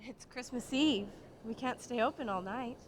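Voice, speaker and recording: A woman's voice: a little high, normal speed, slight background noise, close to an everyday voice. A young woman playing a prim, curt librarian. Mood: guarded. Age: young adult. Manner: curt, clipped. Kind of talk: live radio play acting. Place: stage in a small theater. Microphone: vintage stand mic on stage.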